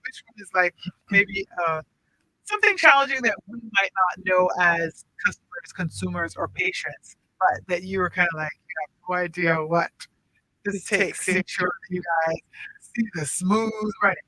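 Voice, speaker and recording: A woman hosting a casual radio interview, chatty and quick to laugh, often restarting her sentences. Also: fairly low pitch, pushed and bright, no echo, poor audio. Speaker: radio talk show host. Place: radio studio.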